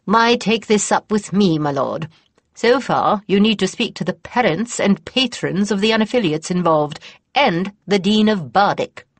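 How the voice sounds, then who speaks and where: A woman's voice: medium pitch, lively intonation, moderate pace, dry recording, pitched above the performer's own voice. A woman doing a weary, wry male academy dean. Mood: exasperated. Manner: dry, exasperated, formal.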